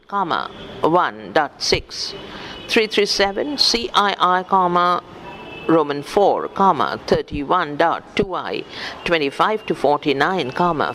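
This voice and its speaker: A man with a fairly high voice reads scholarly text aloud at a slow, methodical pace. His pitch rises and falls in a very lively way as he voices references and punctuation. There is faint noise behind him. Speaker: audiobook reader for visually handicapped listeners. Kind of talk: read-aloud of an academic book and its footnotes, spelling out references.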